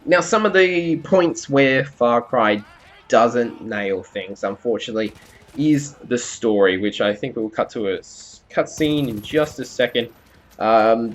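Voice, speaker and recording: A man giving a conversational, explanatory video game review as commentary over gameplay. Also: medium pitch.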